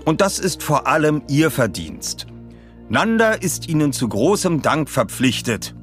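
A flowery, ceremonious speechmaker from an audio story, acted out by a male narrator. The voice is pitched up and swings widely, gushing formally, over music. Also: sing-song, unhurried, fairly bright tone. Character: formal dignitary reading out a flowery ceremonial thank-you speech.

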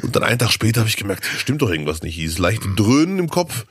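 A man with a slightly hoarse, scratchy voice from a cold, co-hosting a casual podcast and telling rambling stories full of fillers. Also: on the low side, big pitch swings, fast, no room sound, studio quality. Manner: chatty, storytelling, rambling with fillers. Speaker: podcast co-host.

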